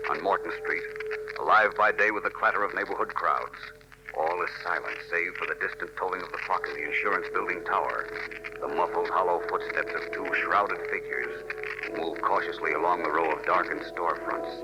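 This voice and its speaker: Low-voiced radio narrator over loud music. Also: male voice, fairly even intonation, brisk, rough recording. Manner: theatrical, suspenseful. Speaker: announcer and narrator of radio serial. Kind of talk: dramatic scripted 1940s radio narration. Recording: old radio recording under loud music.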